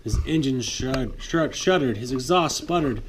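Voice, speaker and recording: Dad reading a bedtime story aloud. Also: male voice, mid-range voice, measured pace, slight background noise. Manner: lively read-aloud, voices for the trucks, chats with the child. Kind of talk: reading a picture book aloud to a child.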